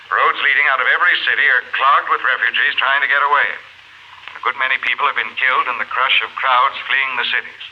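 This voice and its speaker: A man's voice: medium pitch, natural voice. An actor playing a tired, folksy newsman reading emergency bulletins in a 1950s radio drama. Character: sleepy, folksy radio newsman urging calm during disaster. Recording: noisy lo-fi 1950s radio recording.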